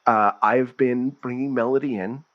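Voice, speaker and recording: A man's voice: mid-range voice, fairly even intonation, normal speed, highs cut off, close to an everyday voice. A man playing a fussy, defensive husband calling an advice show, hesitant and earnest, in improvised comedy. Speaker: caller.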